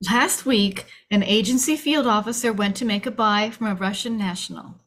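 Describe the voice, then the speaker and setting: A woman's voice: mid-range voice, moderate pace, a bit bright, voice pushed down, close to an everyday voice. A woman playing a frightened young agency staffer, pleading and defensive, in a screenplay table read. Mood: anxious, explaining.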